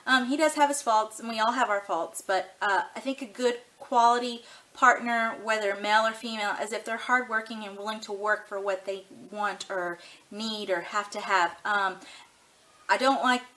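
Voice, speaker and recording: A woman's voice: mid-range voice, moderate pace, bright, forceful tone, low-quality recording. A woman in her thirties chatting casually to camera for a tag video, rambling and frank with lots of ums and you-knows. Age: 30s. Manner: easygoing and frank. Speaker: vlogger doing a tag video.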